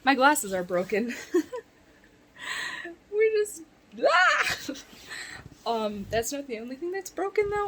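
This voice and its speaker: A woman's voice: medium pitch, fairly bright tone. A woman vlogging in a casual chat from bed at home, congested and rambling, often laughing.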